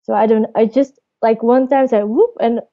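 A woman's voice: a little high, fairly even intonation, normal speed. A young woman in her twenties from Belgium, answering interview questions. She speaks hesitantly and searches for her words. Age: 20s. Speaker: storyteller guest. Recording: dull lo-fi audio.

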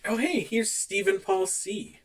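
A man co-hosting a podcast in casual chat, animated and quick to laugh. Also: high-pitched. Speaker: podcast co-host. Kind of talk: casual chat, reading out online reviews.

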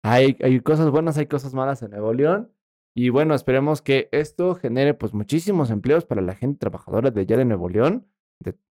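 A young man with a Mexican accent who jokes crudely and drops slang as he banters through political news. His voice is mellow and relaxed, recorded close and dry. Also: mid-range voice, no room sound. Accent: Mexican Spanish. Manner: crude joking, laughs often, slangy. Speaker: podcast co-host. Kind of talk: casual comedic political chat.

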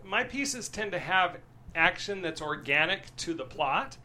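A man with a bright, fairly high voice talks candidly as a writer being interviewed. He rambles with passion and often asks listeners to agree. There is some noise behind him. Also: animated, measured pace. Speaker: screenwriter, interview guest. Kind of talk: candid talk about own writing.

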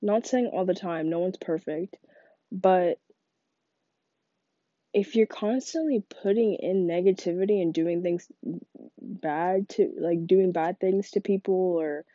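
A woman's voice: on the low side, a little slow, pauses often, mellow, no room sound, muffled recording. Congested young woman rambling casually. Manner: rambling, frequent "like" fillers. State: congested from a cold. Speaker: podcast host. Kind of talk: casual solo monologue.

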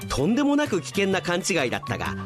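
Theatrical man narrating, animated pitch. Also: quick pace, over music. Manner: theatrical storytelling. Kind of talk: scripted radio drama narration.

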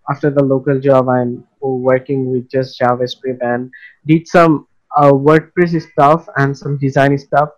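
A man's voice: mid-range voice, darkish tone, lo-fi sound. A young adult man with a Bangladeshi accent, answering casually as an interview guest. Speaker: interview guest, freelance web developer.

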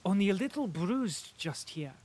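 A man voicing a flustered young male officer who jokes, breathless and high-pitched. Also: lively intonation, moderate pace, darkish tone. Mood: beaming glee.

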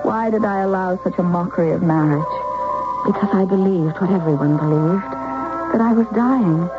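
A woman's voice: fairly low pitch, moderate pace, phone-quality sound, natural voice. Actress as lovesick young woman, thin old radio sound. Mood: wistful, confessional. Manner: dramatic, confessional. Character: lovesick, intense young woman recounting her doomed romance. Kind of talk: old-time radio drama, first-person narration and dialogue. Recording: thin old radio broadcast, music behind.

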